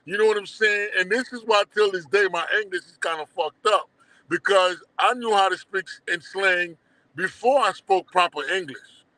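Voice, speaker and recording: A middle-aged man with a Haitian accent, guest on a casual livestream interview, telling animated stories full of slang and swearing. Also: high voice, normal speed, no room sound, poor audio. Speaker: guest, documentary producer.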